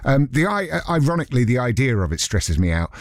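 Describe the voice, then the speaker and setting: Male radio host, medium pitch, dry studio sound, casual matey banter, interviewer. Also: sing-song, fast talker, no echo. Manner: chatty, matey, joking. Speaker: radio presenter.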